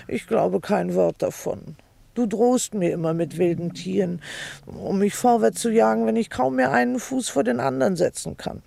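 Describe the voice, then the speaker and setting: Scolding wife, indignant, self-pitying, theatrical radio drama, gravelly creak, dry close sound. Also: female voice, mid-range voice, moderate pace, dark tone, no echo. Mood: weary, defiant. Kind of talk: theatrical German radio play acting.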